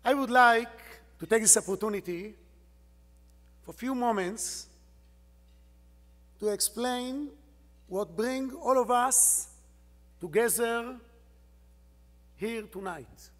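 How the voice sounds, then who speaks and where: A man with an Israeli accent, a native Hebrew speaker, gives a formal acceptance speech in slow, halting English, pressing hard on single words. His voice is fairly high. The long reverb of a large banquet hall surrounds him. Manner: slow, emphatic, halting English with bursts of loud emphasis. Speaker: rabbi receiving an award. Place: large hotel ballroom.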